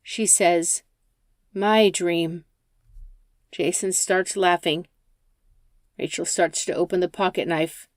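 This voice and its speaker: A woman's voice: on the low side, steady tone, a little slow, no echo, clean studio sound. A woman reading a scripted story as an audiobook narrator.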